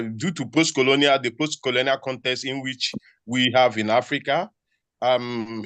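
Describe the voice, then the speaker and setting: A man's voice: medium pitch, fairly bright tone, no room sound. A man from Nigeria, a university researcher asking a panel a question, speaking hesitantly. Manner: hesitant, many fillers and restarts. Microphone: video call.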